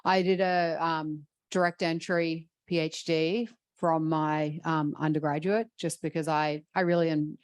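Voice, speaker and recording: A woman in her forties with an Australian accent, a professor on a panel, speaking in a measured, thoughtful way with frequent ums. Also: deep voice, little pitch movement, clean tone, no echo. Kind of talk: panel discussion answer.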